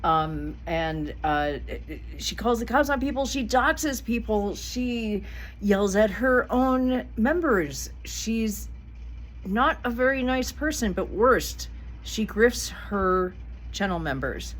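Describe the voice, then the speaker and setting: A woman's voice: a little high, animated, slow, rough recording. A middle-aged woman giving a casual commentary vlog, blunt and indignant, swearing freely. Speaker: vlogger.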